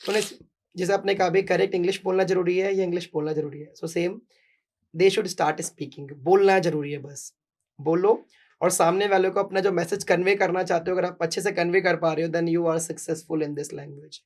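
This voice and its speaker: A man's voice: sits high, flat delivery, moderate pace. A young adult man from Bihar with a Bihari accent, an English coach in a podcast interview, mixing Hindi and English and often asking "right?". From Bihar, India. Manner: mixes Hindi and English, emphatic, repeats "right?".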